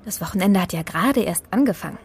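An actress playing a feisty, sharp-tongued young woman in an audio drama, sassy and commanding. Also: medium pitch, animated, fast, husky. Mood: bossy, teasing.

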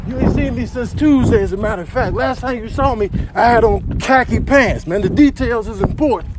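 A jumpy animal, voiced by a man complains in exasperated slang. The voice is pushed high and exclamatory for a comic video dub, with noise behind it. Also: brisk.

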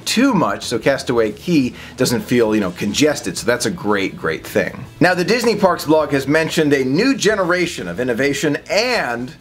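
A man's voice: mid-range voice, big pitch swings, moderate pace, hardly any pauses, soft background music. A man in his thirties talking casually to the camera from a small home office, upbeat and chatty, gesturing as he speaks. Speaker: vlogger.

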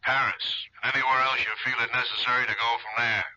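An actor playing a gruff, no-nonsense narcotics chief in a 1970s radio drama, terse and authoritative. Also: male voice, mid-range voice, flat delivery, quick pace, sharp tone. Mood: stern. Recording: muffled 1970s radio recording.

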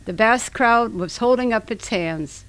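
A woman giving a scripted dramatic reading for radio, solemn, deliberate and declamatory. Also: a little high, fairly bright tone, low-quality recording. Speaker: narrator and reader.

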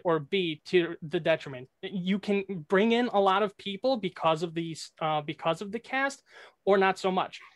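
Young male debater, high-pitched, cocky trash talk, dry close mic. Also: no room sound. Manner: brash, trash-talking, rapid-fire points. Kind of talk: competitive debate argument.

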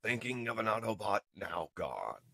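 A man playing a noble robot leader who speaks in a solemn, measured way in a comic dub. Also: fairly low pitch, big pitch swings, creaky, pushed and bright, no room sound, heavily acted. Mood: somber, reflective. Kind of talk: dramatic comic dub acting.

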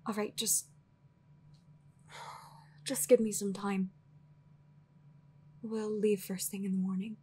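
A woman playing a weary, guarded sorceress in an audio roleplay, earnest and anxious, with a breathy, husky voice and hesitant, sighing phrasing. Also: mid-range voice, little pitch movement, takes it slowly, pauses often, warm tone, a little hiss or hum, lightly acted. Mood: resigned. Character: weary guarded female sorceress, anxious, fiercely logical, secretly lonely. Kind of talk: dramatic audio roleplay acting.

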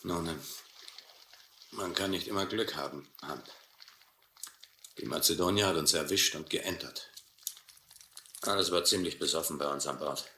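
Sehr tiefer, harter Seekapitän. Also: male voice, extremely low pitch, unhurried, long pauses, sharp tone, exaggerated voice. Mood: dryly sardonic. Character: hard, sardonic sea captain, weakened by blinding headaches. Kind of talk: German radio play acting. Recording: roomy, noisy old radio play recording.

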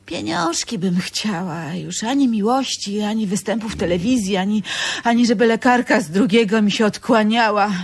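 An actress playing a sharp-tongued, gossipy, world-weary working-class wife in a radio drama, with a husky voice. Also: female voice, medium pitch, animated, moderate pace. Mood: weary, wistful. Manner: colloquial, gossipy. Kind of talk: Polish radio drama acting.

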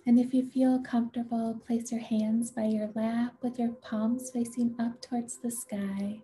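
A woman leading a guided meditation in a gentle, soothing, unhurried way, with a soft, breathy voice that often nears a whisper. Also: fairly high pitch, flat delivery, slight background noise. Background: music.

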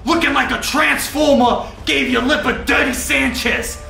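A man's voice: sits high, animated, bright, forceful tone, faint music behind, full character voice. A man voicing a foul-mouthed, hotheaded male football coach with a Boston accent and a combative, trash-talking manner. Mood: furious. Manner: gravelly, slow, combative trash talk. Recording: echoey room.

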